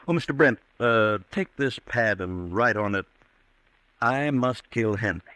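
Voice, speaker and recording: A man acting a measured district attorney's investigator in an old-time radio drama, formal and methodical. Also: lowish voice, lively intonation, pushed and bright, dry recording. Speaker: radio actor.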